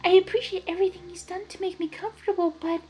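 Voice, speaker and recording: A woman voicing a gentle, timid female pegasus pony with a soft, breathy, hesitant delivery. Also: extremely high pitch, fairly even intonation, quick pace, soft background music, voice pushed up. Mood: wistful, hesitant. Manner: hesitant, shy.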